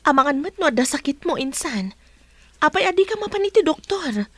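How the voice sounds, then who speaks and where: A woman's voice: high-pitched, big pitch swings, a little fast, no room sound. A woman with a Filipino accent playing a caring young cousin in a radio drama. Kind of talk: Ilocano radio drama acting.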